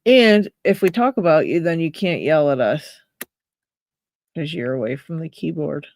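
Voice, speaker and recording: A woman's voice: low-pitched, lively intonation, normal speed, darkish tone, no echo. A woman with a Pittsburgh accent, hosting a casual live stream and chatting with viewers while she reads names from chat and laughs often. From Pennsylvania, USA. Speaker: livestream host.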